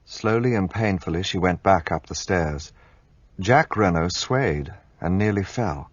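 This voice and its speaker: An earnest loyal companion. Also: male voice, low-pitched, animated, measured pace, breathy edge, dry recording, lo-fi sound, full character voice. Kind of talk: radio play narration and acting.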